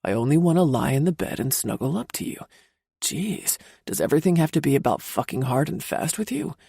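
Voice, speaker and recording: A woman narrator voicing a confident, playful adult man, the husband, in a low teasing drawl for an audiobook. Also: sing-song, fairly quick, gravelly, warm tone, husky, dry recording, studio quality, full character voice. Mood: teasing, commanding. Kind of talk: audiobook character voice.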